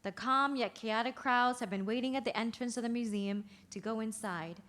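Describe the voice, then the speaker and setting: A woman's voice: fairly high pitch, normal speed, a bit bright. A woman reading scripted narration and stage directions from a play.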